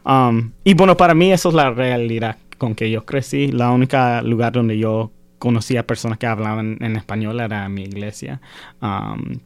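A man's voice: medium pitch, moderate pace, clear, resonant tone, no room sound. A young man student in a casual group discussion, speaking hesitantly with many filler sounds. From United States. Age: young adult. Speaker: university student.

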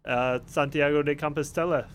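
A man's voice: fairly high pitch, fairly even intonation, moderate pace, a little hiss or hum. A man, a priest visiting as a podcast guest, telling of his walk with dry, wry humor. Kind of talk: casual chat.

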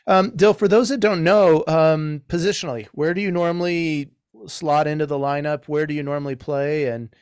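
A man's voice: fairly high pitch, fairly even intonation, normal speed, darkish tone, clean tone, no echo, studio quality. A man, a team chaplain, interviewing a player with long, rambling questions. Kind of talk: casual interview.

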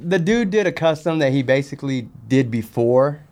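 A man's voice: medium pitch, animated, normal speed, a little mellow. A man chatting casually as a podcast guest, talking in a candid, slangy way. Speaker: podcast guest, sneaker customizer. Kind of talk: casual chat.

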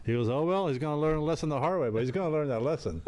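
A man's voice: on the high side, animated, rapid-fire, a little mellow. A man from Belgium, a podcast guest, telling a rambling story and laughing at his own mishaps. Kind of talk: casual storytelling.

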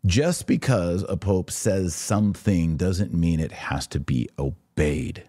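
A man's voice: deep voice, takes it slowly, warm tone, no room sound, clean studio sound. A man hosting a religious commentary podcast, speaking in an emphatic, instructive yet conversational way. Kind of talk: live religious commentary, answering listener questions.

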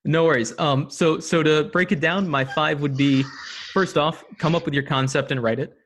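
A man's voice: a little high, steady tone, normal speed, fairly bright tone, slight background noise. A man talking as an interview guest, enthusiastic and full of filler words like um and uh. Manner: enthusiastic, many ums and uhs. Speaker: podcast guest, audio drama producer. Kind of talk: casual interview talk.

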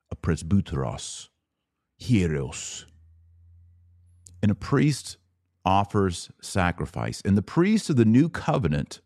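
Male podcast host, sermon-like monologue, slow deliberate delivery, swooping lively pitch, dramatic pauses. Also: no room sound, studio quality.

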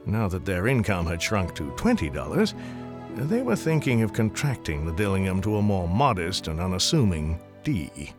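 An actor playing a wry, theatrical narrator of a radio drama, telling the story in an ornate, deliberate way. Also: male voice, low voice, sing-song. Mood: wry, droll. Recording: music clearly behind.

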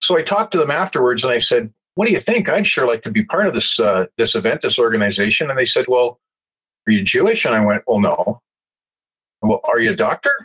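Husky older Canadian man telling stories. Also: mid-range voice, lively intonation, fairly quick, fairly bright tone, poor audio. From Alberta, Canada. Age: 60s. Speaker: interview guest, retired paramedic. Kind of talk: conversational storytelling.

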